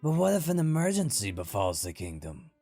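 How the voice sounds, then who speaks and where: Creaky, imperious fantasy nobleman. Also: a little high, huge pitch swings, fairly quick, warm tone, faint music behind, full character voice. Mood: questioning, displeased. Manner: condescending, formal, questioning. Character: imperious, peculiar lavish nobleman, a royal chancellor. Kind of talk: fantasy audio drama acting.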